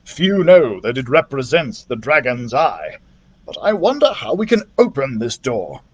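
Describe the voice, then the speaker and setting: A man reading a storybook part as a learned older dragon scholar, deliberate, emphatic and commanding. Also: mid-range voice, very animated, husky, highs cut off. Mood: excited, revealing.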